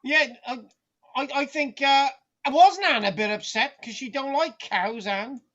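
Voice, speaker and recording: A woman's voice: high-pitched, measured pace, fairly bright tone, highs cut off. A woman hosting a casual group chat. She teases the others and often restarts her sentences. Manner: stammering restarts, teasing, chatty.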